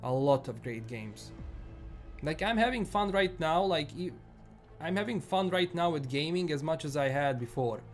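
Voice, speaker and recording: A man's voice: on the high side, animated, normal speed, faint music behind. A man with a Bosnian accent chatting casually on a live stream, rambling and restarting his sentences often. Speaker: livestream host.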